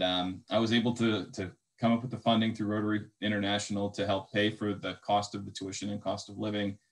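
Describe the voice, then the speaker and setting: A young man, a medical graduate, speaking casually on a video call with many fillers. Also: fairly low pitch. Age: young adult. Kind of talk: online info session talk.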